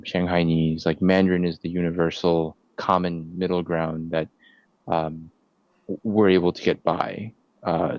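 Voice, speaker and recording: Deep young guy in an interview, lots of ums, dull dry recording. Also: a little mellow, dry recording. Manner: hesitant, many fillers and restarts. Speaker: interviewee, nursing student. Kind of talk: casual interview answers.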